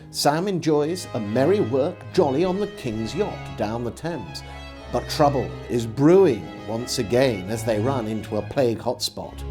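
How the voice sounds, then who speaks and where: English guy reading an old diary out loud, slow and dramatic, voice goes up and down loads. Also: medium pitch. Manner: measured, theatrical delivery. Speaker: storyteller and host.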